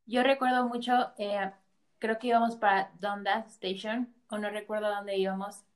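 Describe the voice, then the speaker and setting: A woman's voice: medium pitch, flat delivery, moderate pace. A woman with a Mexican accent, a guest in a casual chat, telling stories and laughing often. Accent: Mexican Spanish.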